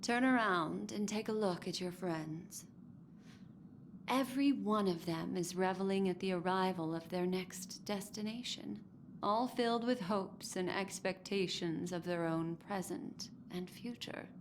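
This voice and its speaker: A woman's voice: medium pitch, a little slow, a little hiss or hum, lightly acted. An actress voicing an enigmatic, teasing and seductive woman in a video game, poised and coy with lingering, theatrical phrasing. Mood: teasing, alluring. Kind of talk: scripted video game character dialogue. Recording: clean voice over game music.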